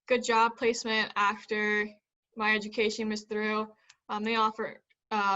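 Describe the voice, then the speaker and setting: A young woman from South Carolina, a college student, speaking steadily with pauses. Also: medium pitch, pushed and bright, highs cut off. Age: young adult. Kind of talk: casual chat.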